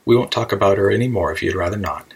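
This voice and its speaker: A man's voice: lowish voice, fast talker, creaky, breathy edge. A man voicing a polite, chatty, bewildered little girl in a children's storybook, earnest and apologetic.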